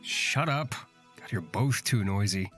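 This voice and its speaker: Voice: sits low, lively intonation, measured pace, fairly bright tone, faint music behind. A performer voicing a grumpy, sarcastic young man in a fantasy visual novel.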